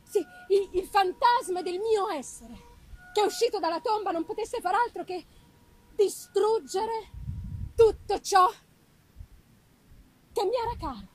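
A woman performing an anguished, haunted young male scientist in a dramatic, halting gothic literary reading, emphasizing and repeating words. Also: very high-pitched, low-quality recording. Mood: anguished, horrified.

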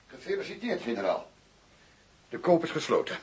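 Weary, expressive undercover cop. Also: male voice, on the high side, normal speed, husky, full character voice. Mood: brisk, businesslike. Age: 40s. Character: worn-out undercover policeman in his forties. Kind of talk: radio drama acting.

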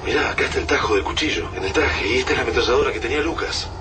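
A man's voice: medium pitch, very fast, distant mic, background noise, close to an everyday voice. An actor playing a shaken, angry family man facing danger in a radio drama, speaking with an Argentine accent. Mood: shocked, angry. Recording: off-mic under loud music.

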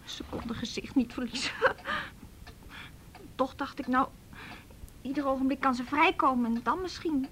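An actress playing a hurt, defiant young runaway girl in a naturalistic radio drama, telling things in a halting, wry way. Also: high-pitched, slight background noise, close to an everyday voice. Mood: resigned.

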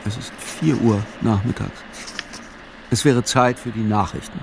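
An actor playing a pensive, solitary man musing over facts in a literary radio play, with a gravelly voice and measured, deliberate delivery. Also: fairly low pitch, heavy background noise.

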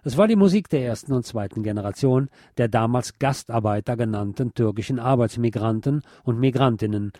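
A man reading scripted documentary narration in a measured, essayistic way. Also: medium pitch, dark tone, no echo, clean studio sound.

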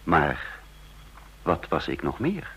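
A man's voice: very deep voice, wildly swooping pitch, slow pace, fairly bright tone, slightly rough, exaggerated voice. Een man die in een hoorspel een onrustige geheim agent speelt, die aarzelend en piekerend zijn eigen raadsel vertelt, op een oude radio-opname. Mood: troubled, brooding. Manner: brooding, hesitant, self-questioning. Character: uneasy male secret agent narrating his own puzzle. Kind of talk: radio drama acting, first-person narration. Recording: dull lo-fi old radio recording.

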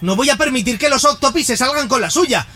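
A man's voice: very high-pitched, expressive, fairly quick, over loud music. A man playing an earnest, determined musician hero in a sci-fi radio serial, with melodramatic delivery. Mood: insistent. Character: earnest, determined male musician hero fighting space octopuses.

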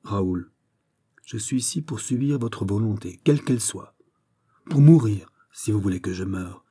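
A man's voice: fairly low pitch, expressive, unhurried, dark tone, slightly rough. Man voicing an impassioned, pleading young woman. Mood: fervent pleading. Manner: impassioned, imploring, breathless exclamations. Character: anguished, guilt-ridden young woman in love, pleading. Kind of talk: dramatic storybook reading.

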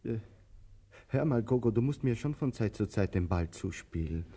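Dark-voiced, pompous brooding tramp. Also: male voice, fairly low pitch, expressive. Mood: earnest, musing. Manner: theatrical, musing, mock-formal, halting for effect. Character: brooding, talkative male tramp, pompous yet fond. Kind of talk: German radio play acting.

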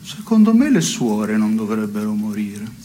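A male stage actor playing a sardonic man who delivers irreverent, absurd verdicts in a deliberate deadpan. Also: fairly low pitch, slight background noise. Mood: dry irony. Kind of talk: two-person absurdist satirical theatre dialogue.

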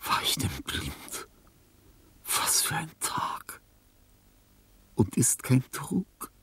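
An actor playing a dying, lonely old artist in a radio play, delirious and fearful, in a husky, breathy voice that speaks in halting fragments. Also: male voice, a little high, very animated, sharp tone, full character voice. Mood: dazed tender wonder. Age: older. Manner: halting fragments, whispers rising to frantic cries. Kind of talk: German radio play acting.

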